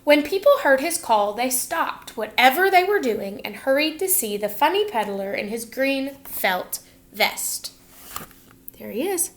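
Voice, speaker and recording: A woman teacher reading a picture book aloud to students, expressive and instructive, pausing to put questions to her listeners. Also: high-pitched.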